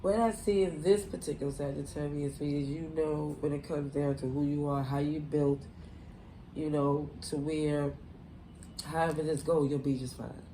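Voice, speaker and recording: A woman's voice: deep voice, steady tone, normal speed, warm tone, a little hiss or hum, low-quality recording. A woman with a cold, her voice stuffy and nasal, giving a loose, rambling tarot reading full of "you know" and "like". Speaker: tarot reader. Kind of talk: casual tarot reading to camera.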